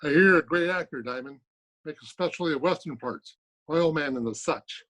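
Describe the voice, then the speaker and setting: An actor playing a crude, taunting gangster heavy who talks in tough, broken grammar in an old-time radio crime drama. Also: male voice, a little high, fairly quick, fairly bright tone. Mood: taunting threat. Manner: threatening, ungrammatical tough talk. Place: at home, recorded remotely.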